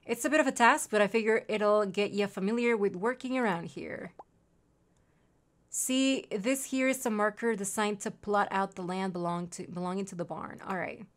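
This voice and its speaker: A woman's voice: medium pitch, normal speed. A young woman from Mexico with a Spanish accent, streaming a farming game and reading its dialogue aloud, with chatty asides and gasps. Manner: chatty, reads character lines aloud, reacts with gasps.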